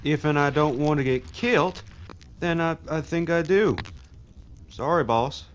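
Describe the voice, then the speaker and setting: A man's voice: on the high side, a little slow, muffled recording, slight background noise, natural voice. An actor playing a frightened outlaw henchman who talks in an anxious, pleading drawl.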